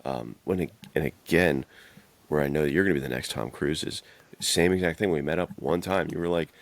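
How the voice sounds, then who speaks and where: Very deep-voiced man, casual and creaky. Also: brisk, no echo. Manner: bro-ish, enthusiastic, slangy. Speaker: podcast co-host. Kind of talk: casual chat about adventure fiction.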